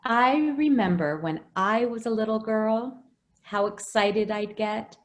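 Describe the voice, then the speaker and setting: A woman playing a gentle, anxious housekeeper who protects a child, hesitant and soothing, in old-time radio drama style. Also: fairly high pitch, slow pace. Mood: wistful, tender.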